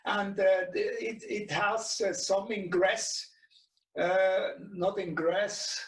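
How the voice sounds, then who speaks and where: A middle-aged man with a Swiss German accent speaks in a high voice at an unhurried, slow pace. A native German speaker, he explains things informally and haltingly, searching for words. He sounds some way from the microphone in an echoey room. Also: level tone. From Switzerland. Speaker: webinar presenter and radio shop co-owner. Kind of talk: informal product tutorial. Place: small indoor radio workshop. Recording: echoey room, distant mic.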